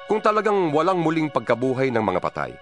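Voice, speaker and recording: Man reading scripture with lively pitch. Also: quick pace. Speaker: Bible reader. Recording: music bed under the voice.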